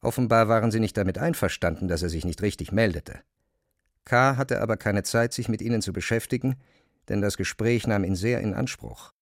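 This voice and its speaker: A man narrating a literary text as a scripted reading, measured and precise. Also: lowish voice, no room sound, clean studio sound.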